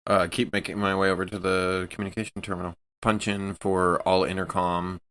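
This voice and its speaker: A man's voice: low voice, little pitch movement, brisk, fairly bright tone. A man talking casually as a player in a tabletop role-playing game.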